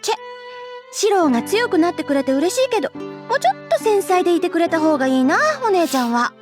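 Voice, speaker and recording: Casting: teasing, childish big sister for a visual novel, very high and animated, music playing clearly behind. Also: female voice, very fast. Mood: playful pouting. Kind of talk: Japanese visual novel voice acting. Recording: loud game music behind.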